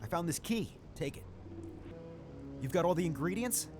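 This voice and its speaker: An eager, slightly nervous potion brewer played by a man from an adventure game. His highish voice jumps around in pitch, with light music behind him. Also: expressive, normal speed, darkish tone, natural voice. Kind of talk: video game character acting.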